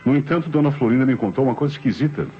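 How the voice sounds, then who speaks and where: A Brazilian actor playing a methodical, suspicious police inspector in a radio drama, speaking formally and deliberately. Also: male voice, mid-range voice, natural voice. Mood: grave, measured. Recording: dull old radio recording, music behind.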